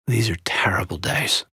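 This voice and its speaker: A man playing an earnest, loyal military officer in a space-war video game, with a husky, raspy voice and a sincere, thoughtful manner. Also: lowish voice, lively intonation, slow, sharp tone, no echo, studio quality, exaggerated voice. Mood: somber, earnest. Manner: sincere, thoughtful, often sighing. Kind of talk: video game character dialogue.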